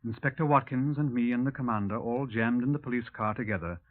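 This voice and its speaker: A man's voice: medium pitch, quick pace, natural voice. An actor playing a dry, observant policeman who narrates a 1950s radio drama. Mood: dry, measured. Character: dry, observant police officer recounting a case. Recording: tinny narrow-band 1950s radio.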